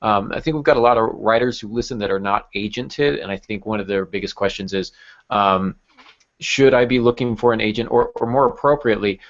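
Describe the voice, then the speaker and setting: A man interviews casually as a podcast host, in a slightly low voice with plenty of fry. His questions wander as he forms them. The sound is a bit dull and lo-fi. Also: a little fast.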